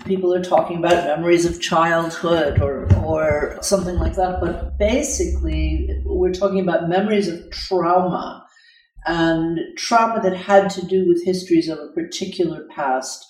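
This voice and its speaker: An older woman, a scholarly interview guest, speaking thoughtfully and precisely, with deliberate pauses in mid-phrase. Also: on the low side, fairly even intonation, a little mellow. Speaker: guest, moral philosopher and author. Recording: echoey room, distant microphone.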